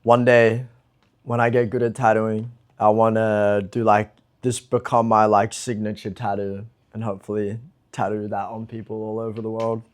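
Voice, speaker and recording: A man's voice: on the low side, level tone, normal speed, a little mellow. A man, talking casually in an interview in a laid-back, rambling way with lots of fillers. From New Zealand. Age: 20s. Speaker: interviewed pro skateboarder.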